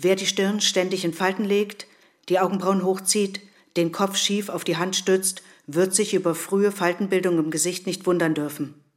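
An actress playing a radio play narrator who recites screen directions and etiquette rules in a measured, declarative way. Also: female voice, on the low side, lightly acted.

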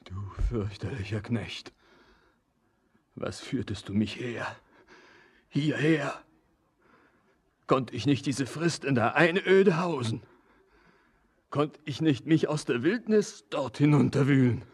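An actor playing a haunted, anguished young man in a poetic radio drama, husky and declamatory. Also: mid-range voice. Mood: bitter anguish. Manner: slow, declamatory.

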